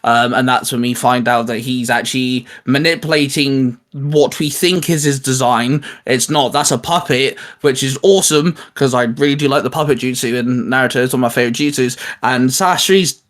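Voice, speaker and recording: A man's voice: medium pitch, moderate pace, few pauses, a bit bright. A man chatting casually and enthusiastically as co-host of a geek culture discussion show. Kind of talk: casual chat.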